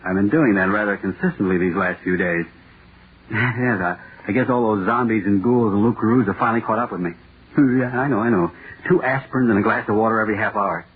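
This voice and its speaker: An actor playing a nervous, overworked male author in 1940s radio drama, halting and muttering to himself. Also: on the low side, natural voice. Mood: weary, wry. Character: jittery, overworked male horror-play author, restless and self-doubting. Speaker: radio playwright dictating a script. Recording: scratchy, noisy, tinny old radio broadcast.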